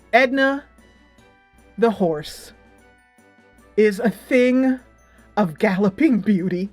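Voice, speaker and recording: A middle-aged woman giving a casual, giggly video game review, animated and emphatic, drawing out words for effect. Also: on the high side, no room sound.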